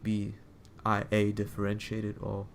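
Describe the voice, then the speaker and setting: A man giving casual commentary as a streamer, halting and repeating his phrases as he thinks aloud. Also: lowish voice, completely flat delivery, gravelly, slight background noise. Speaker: streamer reacting to another stream.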